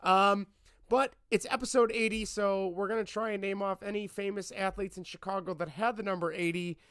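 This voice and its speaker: A podcast host in his thirties with a fairly high voice, roughened and hoarse from laryngitis. He talks in a chatty, rambling, self-deprecating way. The sound is dry and close. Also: steady tone, brisk, fairly bright tone, dry recording. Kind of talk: casual podcast chat.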